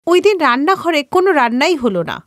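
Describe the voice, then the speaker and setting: A woman's voice: sits high, very animated, fast talker, fairly bright tone. A woman narrating a scripted moral tale for a cartoon, as the storyteller.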